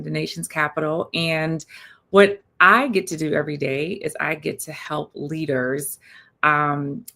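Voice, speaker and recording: A woman's voice: low voice, gravelly, sharp tone. A woman panelist speaking enthusiastically about her work on a video call. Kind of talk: panel discussion.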